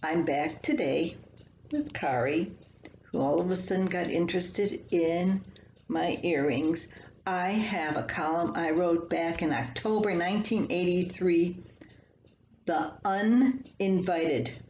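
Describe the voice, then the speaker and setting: An older woman reading her own humorous personal column aloud in a folksy, unhurried storytelling manner. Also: lowish voice, darkish tone. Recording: echoey room, slightly dull, faint hiss.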